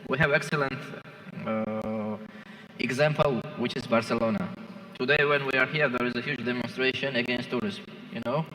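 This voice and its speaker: A man who is not a native English speaker, giving halting, impromptu remarks as a conference panelist. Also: medium pitch, fairly even intonation, pushed and bright. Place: large conference hall. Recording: echoing hall with long reverb.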